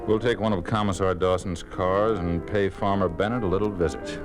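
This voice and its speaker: A man acting a cynical secret police investigator in old-time radio drama. He has a very deep voice and a terse, cool, matter-of-fact delivery. Loud music plays behind the old recording. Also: fairly even intonation, fairly quick, lightly acted. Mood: cool, reporting.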